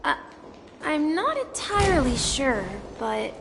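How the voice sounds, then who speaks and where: A woman's voice: high voice, very animated, vocal fry, a bit bright, a little hiss or hum, heavily acted. A woman voicing a timid, polite young boy doorman in a video game, hesitant and eager to help. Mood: unsure, helpful. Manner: hesitant, halting. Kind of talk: scripted game voice acting. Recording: long hall reverb, faint music.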